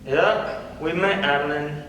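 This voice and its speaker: A man's voice: a little high, unhurried, a bit bright. A man playing an insecure, jealous stand-up comedian with a sulky, sardonic manner in a script reading. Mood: uneasy, deflated. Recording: very echoey hall, far mic, faint noise.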